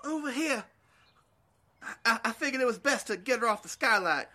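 A man's voice: extremely high pitch, animated, moderate pace, bright, forceful tone, exaggerated voice. A man playing a hesitant, folksy, helpful survivor in a zombie audio drama, stammering as he speaks. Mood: urgent.

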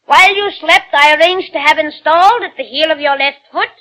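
A sly little general. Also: male voice, very high voice, fairly quick, bright, forceful tone, heavily acted. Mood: officious. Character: scheming male Lilliputian general. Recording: old radio broadcast.

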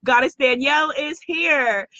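Woman host, slightly hoarse, greets viewers effusively; high, bright tone, dry and dull-sounding mic. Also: a little slow, no echo. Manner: effusive, shouting out viewers by name. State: slightly lost voice. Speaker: host of a live spiritual business stream. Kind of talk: live-stream chat greeting viewers.

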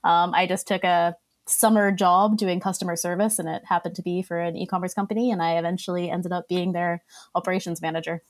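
A relaxed woman whose Australian accent is tinged with Boston, answering a podcast host's questions. She uses plenty of fillers and laughs lightly. Her voice sits a little low for a woman. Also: brisk. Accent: Australian mixed with Boston. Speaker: compliance specialist, guest. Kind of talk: casual interview chat.

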